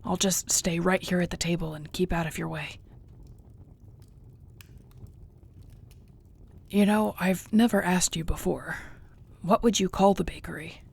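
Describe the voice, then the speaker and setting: A husky, gravelly orc warrior girlfriend acted by a woman, low in pitch. She is gentle and content, talking softly and unhurriedly to her beloved in an intimate audio roleplay, often close to a whisper. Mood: fond, playful. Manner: soft, tender, unhurried, often near a whisper.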